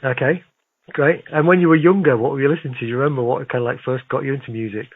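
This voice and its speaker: A man of medium pitch interviews in a conversational, affirming way, as a radio host does. His voice comes through narrow and tinny, like a telephone connection. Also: fairly even intonation, rapid-fire, breathy edge. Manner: encouraging, affirming. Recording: narrow phone-like radio sound.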